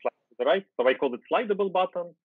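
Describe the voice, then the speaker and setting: A man's voice: high-pitched, fast talker, slightly rough, no echo. Ukrainian man on a tinny video call. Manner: relaxed, thinking aloud with fillers and restarts. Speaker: guest software developer. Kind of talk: casual screen-share coding chat.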